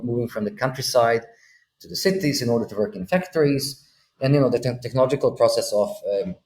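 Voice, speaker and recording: A man's voice: mid-range voice, darkish tone. A man in his thirties from Bosnia, a podcast guest on a video call, explaining ideas with many fillers and restarts. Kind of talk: thoughtful conversational explanation. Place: room with a window. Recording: roomy video call audio with faint hum.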